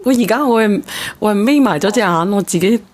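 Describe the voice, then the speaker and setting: A woman's voice: medium pitch, fairly quick, a little mellow. A woman hosting an emotional phone-in show in speech, probing and exclaiming, repeating herself insistently. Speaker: call-in show host. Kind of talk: emotional radio phone-in talk.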